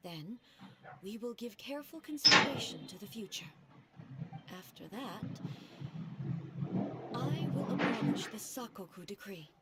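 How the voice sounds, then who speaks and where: A woman's voice: mid-range voice, huge pitch swings, heavy background noise. Solemn, regal woman ruler. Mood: composed, resolute. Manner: formal, measured, dignified. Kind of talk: anime game voice acting.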